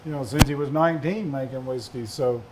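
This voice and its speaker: Southern man in panel chat, easygoing stories at a medium pitch, faint hum behind. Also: a little fast. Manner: folksy, rambling anecdotes. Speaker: distiller on a panel. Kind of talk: casual storytelling on a panel.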